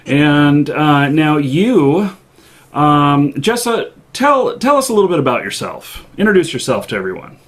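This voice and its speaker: A man hosting a live online show, interviewing a guest with many halting fillers. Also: on the high side, sing-song. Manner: hesitant, many fillers, laughs along. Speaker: show host.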